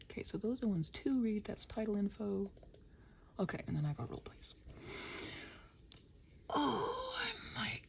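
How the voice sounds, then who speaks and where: A woman rambling casually to listeners as she works, muttering and thinking aloud in a self-deprecating way, her soft voice often dropping near a whisper. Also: medium pitch, vocal fry, slight background noise. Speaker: audio roleplay and ASMR creator.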